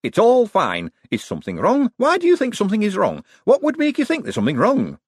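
A man's voice: high-pitched, wildly swooping pitch, normal speed, slightly rough, no echo, clean studio sound, voice pushed up, full character voice. A man voicing an anxious, fragile, manic banker in an audiobook, quavering, flustered and repeating himself. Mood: nervous denial. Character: anxious, fragile, manic male banking eccentric.

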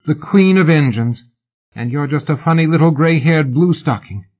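A man reading an audiobook, voicing a pushy, excitable exiled woman who gushes and pleads in an overly familiar way, on a dull lo-fi recording. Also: on the high side, a little slow, husky, voice pushed up. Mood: gushing.

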